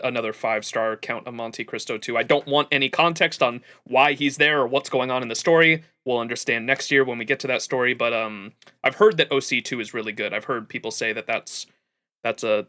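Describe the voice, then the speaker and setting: A man hosting a livestream, giving casual, rambling, animated gaming commentary with plenty of swearing. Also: mid-range voice, fairly quick. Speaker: livestream host.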